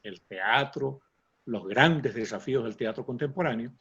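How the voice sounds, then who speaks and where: A man hosting a cultural interview in a conversational but formal way, with florid, deliberate phrasing. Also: medium pitch, slightly rough, muffled recording. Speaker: talk show host and interviewer.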